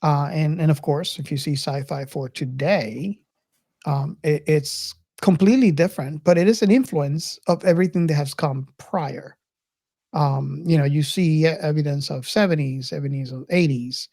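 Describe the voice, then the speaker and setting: Low-pitched woman podcaster, reflective and halting. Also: mellow, dry recording, clean studio sound. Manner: reflective, halting, circles back over phrases. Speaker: podcast host. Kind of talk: casual film discussion.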